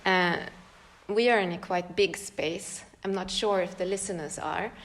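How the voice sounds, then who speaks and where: A woman with a Swedish accent, speaking a little low for her sex in a relaxed podcast chat. She thinks aloud, hunts for words and laughs often. She is recorded in a large room. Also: measured pace. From Sweden. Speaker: guest choreographer and dance teacher. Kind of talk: casual podcast conversation.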